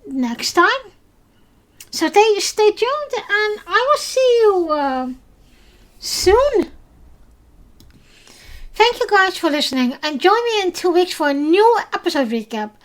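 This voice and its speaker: A Dutch woman hosts a solo podcast recapping episodes, speaking English as a second language. Her voice sits high, and she talks slowly. She hesitates often, filling pauses and restarting her sentences. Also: sing-song. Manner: hesitant, many fillers and restarts. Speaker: podcast host.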